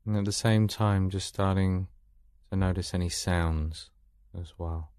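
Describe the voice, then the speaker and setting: Male meditation guide: deep register, dry close sound, calm soothing instruction, unhurried gaps between phrases. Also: clear, resonant tone, no echo.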